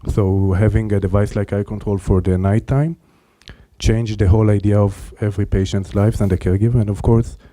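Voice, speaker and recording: A man answering panel questions about his startup in an earnest, halting way with many fillers. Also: fairly low pitch, fairly even intonation, warm tone, no room sound.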